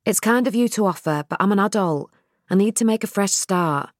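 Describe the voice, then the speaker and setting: A woman's voice: mid-range voice, fairly quick, dry recording, studio quality, pitched above the performer's own voice, natural voice. A woman voicing a determined young woman in her late twenties who talks eagerly and insistently, a little impatient.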